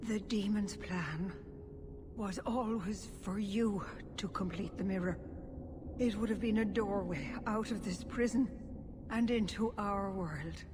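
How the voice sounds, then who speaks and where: An actress playing a solemn older elf woman, a clan leader with a measured, resolute manner, in a video game. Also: mid-range voice, close to an everyday voice. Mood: grave, resolute. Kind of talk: video game dramatic acting. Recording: clear background noise, ambience.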